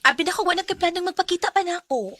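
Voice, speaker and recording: A woman's voice: very high voice, very fast. An actress playing a hurt young woman confronting her lover in a radio drama, with a Cebuano Filipino accent. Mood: coy, teasing.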